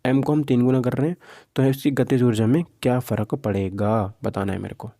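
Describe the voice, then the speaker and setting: A man's voice: mid-range voice, steady tone, fairly quick, mellow, no room sound, studio quality. A man teaching an exam practice lesson informally, posing questions and answering them himself. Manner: informal, Hindi mixed with English terms. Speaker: physics teacher.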